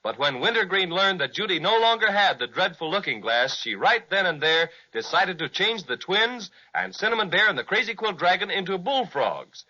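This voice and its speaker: A man narrates in old radio-serial style. His fairly high, piercing voice has a raspy edge and a dramatic storytelling delivery. The recording is dull and aged. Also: big pitch swings, moderate pace, few pauses, bright, forceful tone.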